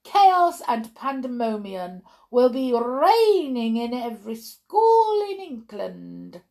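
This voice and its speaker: A woman voicing a domineering old witch villain in a storybook, theatrical and deliberate, in broken English. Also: on the high side, sing-song, mellow, voice pushed up, exaggerated voice. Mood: triumphant. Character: gloating, domineering old Grand High Witch, foreign-sounding villain.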